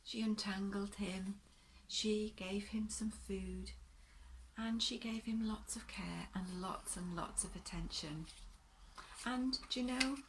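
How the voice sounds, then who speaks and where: A woman reading a children's story aloud in a gentle, deliberate, expressive way, pausing to talk to her young listeners. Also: medium pitch, noisy background.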